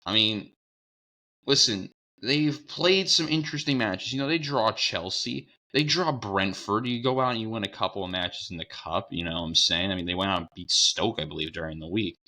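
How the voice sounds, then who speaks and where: A young man with a hoarse voice from a cold, hosting a casual sports preview show, rambling and correcting himself as he goes. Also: lowish voice, moderate pace, bright, forceful tone. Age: young adult. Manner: rambling, self-correcting, upbeat.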